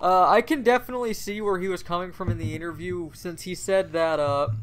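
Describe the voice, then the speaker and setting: A man co-hosting a casual podcast, giving his opinions in a measured, analytical way. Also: sits high, steady tone. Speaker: podcast co-host. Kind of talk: casual panel discussion.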